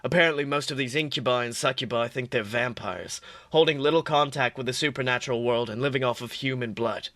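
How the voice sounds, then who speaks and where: A man's voice: medium pitch, brisk, hardly any pauses, fairly bright tone, dry recording, voice pushed down, natural voice. A man reading aloud the lines of a smug, scheming male demon in a fan fiction, in a dry, matter-of-fact way. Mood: explaining.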